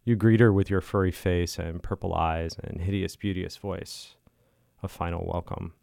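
Deep gravelly guy reading a personal essay out loud, measured, really dry close sound. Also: fairly even intonation, no echo. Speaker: listener contributor reading own writing.

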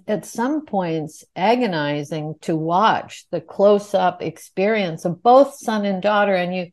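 A woman's voice: mid-range voice, a little mellow. A woman interviewing a filmmaker, speaking in long, articulate, reflective sentences. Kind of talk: thoughtful interview questions.

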